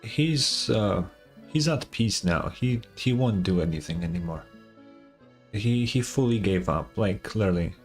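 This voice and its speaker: A man's voice: fairly low pitch, expressive, measured pace. Casual male game streamer over music. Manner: hesitant, slangy, reacting aloud. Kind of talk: casual gameplay commentary.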